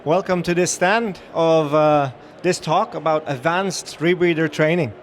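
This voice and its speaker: A man's voice: fairly high pitch, measured pace, slight background noise. A middle-aged man from the Netherlands with a Dutch accent, giving a chatty, upbeat talk to a live audience on a trade-show floor, with many fillers and restarts.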